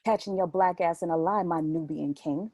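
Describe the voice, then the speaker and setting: A woman's voice: lowish voice, moderate pace, darkish tone, no echo, studio quality. A woman playing a sassy, scheming young woman in a play reading, taunting and mock-sweet. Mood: taunting, smug. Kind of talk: readers theatre play reading.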